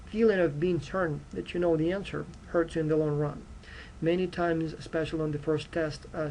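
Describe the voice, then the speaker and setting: A man's voice: fairly high pitch, a little mellow, slight background noise, poor audio. Ukrainian-accented male lecturer, earnest and direct. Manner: earnest, direct, frequent fillers like uh and you know. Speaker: university lecturer. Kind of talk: recorded course introduction lecture.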